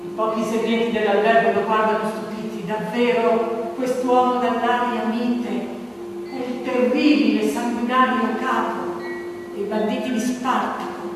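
Woman acting as grave storyteller, dramatic stage narration, deliberate pauses, distant, clear music behind. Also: a little high, little pitch movement, heavy reverb, long reverb tail, lightly acted. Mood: grave, descriptive. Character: grave storyteller recounting a political killing.

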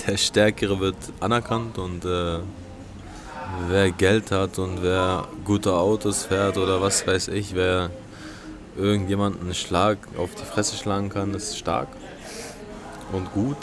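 A man's voice: sits low, little pitch movement, measured pace, a crowd in the background. A man in his late twenties from Berlin, speaking frankly and bluntly in a personal interview. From Berlin, Germany. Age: late 20s.

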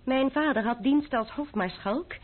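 A woman's voice: high voice, measured pace. An actress playing a proud, defiant young French noblewoman in a Dutch radio play, haughty and scornful in manner. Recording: narrow-band, muffled old radio recording.

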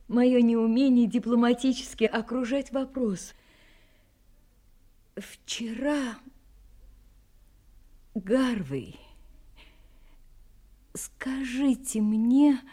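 An actress playing a serious, frank young woman in love in an audio drama, speaking in a halting, earnest, sad manner that sinks to a near-whisper. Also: on the high side, warm tone. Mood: reserved, hesitant. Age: young adult.

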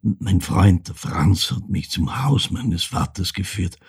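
Husky, haunted older Austrian storyteller. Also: male voice, low-pitched, lively intonation, heavily acted. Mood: pensive, confiding. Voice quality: husky, gravelly, breathy. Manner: halting, intense, dropping into near-whispers. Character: haunted older man recalling his fatherless childhood. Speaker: actor performing a monologue. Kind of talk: dramatic first-person literary monologue.

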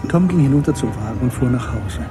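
A man narrating a German literary radio play in a measured, sober storytelling manner. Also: mid-range voice, expressive, natural voice. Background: music.

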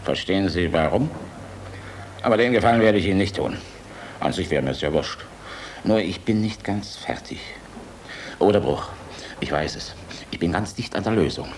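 An actor playing a weary, bitter German general in a radio play, speaking in an emphatic, rhetorical, theatrical way. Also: male voice, low-pitched, expressive, normal speed, pauses often, slight background noise. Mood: gruff, resigned. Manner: emphatic, rhetorical, swinging from gruff to impassioned. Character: weary, bitter German air force general, defiant and tormented. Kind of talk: theatrical radio drama acting.

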